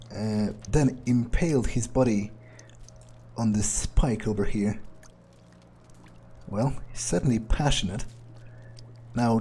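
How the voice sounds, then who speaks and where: Game playthrough role: a man acting an amused, callous vampire thinking aloud. Slow, hesitant delivery, relaxed tone. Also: mid-range voice, breathy edge. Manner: musing, hesitant, darkly amused. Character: amused, callous male vampire musing to himself. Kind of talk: in-character game playthrough commentary.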